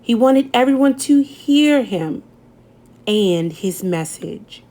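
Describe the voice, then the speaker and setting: A woman reading a children's picture book aloud, gentle and expressive, lifting her voice on key words. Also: fairly high pitch, takes it slowly. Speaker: storybook reader. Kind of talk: children's read-aloud narration.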